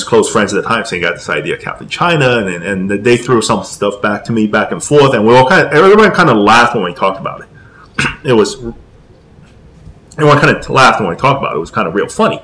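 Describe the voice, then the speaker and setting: A man from Taiwan who grew up in America, talking casually and haltingly as a comic creator being interviewed, circling back over his phrases. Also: medium pitch, fairly bright tone, slight background noise, poor audio. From Taiwan, moved to America at nine. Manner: rambling, halting, repeats phrases. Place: small room with posters, indoors. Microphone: desk condenser microphone with pop filter.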